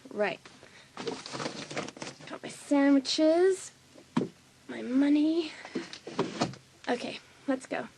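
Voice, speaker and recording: A woman playing a lively, competitive, sympathetic teenage girl, the best friend in a dramatised audiobook. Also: sits high, background noise, full character voice. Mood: casual.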